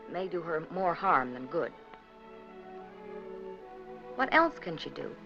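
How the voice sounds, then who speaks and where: A woman delivering scripted 1950s documentary narration in an earnest, measured, rhetorical manner. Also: low voice, big pitch swings. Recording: dull 1950s film soundtrack, music behind. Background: background music.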